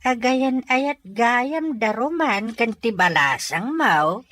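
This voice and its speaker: An actor playing a teasing, slow-witted older father in a radio drama, with a husky, drawling, exclaiming voice and a Filipino accent. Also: very high voice, expressive, sharp tone, dry recording, full character voice. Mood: surprised, teasing.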